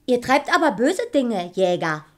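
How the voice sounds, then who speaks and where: A woman's voice: a little high, very animated, unhurried. A woman playing a naive, homely wife from a folk puppet tale, in a radio play. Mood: indignant, curious.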